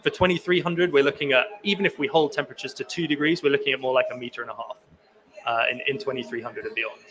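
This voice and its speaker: A man's voice: mid-range voice, steady tone, fast talker, noisy background. A young man, a sea ice scientist, speaking at a conference podium and answering questions, with many restarts and fillers. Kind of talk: conference talk and Q&A. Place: conference pavilion hall, indoors. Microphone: podium microphone.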